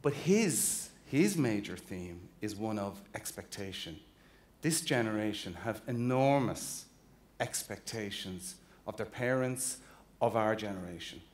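A man's voice: lowish voice, animated, unhurried. Irish man, fifties, earnest keynote speaker, emphatic, restarts phrases, convention hall stage. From Ireland. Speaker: chief executive giving a keynote. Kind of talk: conference keynote speech. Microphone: stage mic, walking the stage with a slide clicker.

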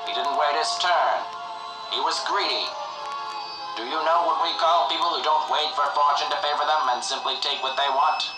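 A man's voice: fairly high pitch, steady tone, normal speed, reverberant, distant mic, rough recording. A man narrating in the style of a 1950s educational filmstrip, with an earnest, pompous, moralizing announcer delivery. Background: music.

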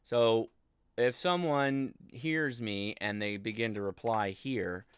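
An American man in his thirties talking through a casual livestream tutorial, conversational and halting as he thinks aloud. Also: on the low side, clear, resonant tone. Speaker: livestream host and ham radio instructor. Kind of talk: casual live tutorial with chat replies. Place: indoor home studio desk, livestream.

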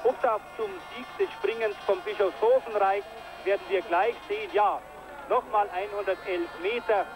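A man giving live commentary on a ski jumping event, getting excited on big jumps, from an old broadcast tape. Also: high voice, moderate pace, vocal fry, music in the background, low-quality recording. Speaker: sports commentator.

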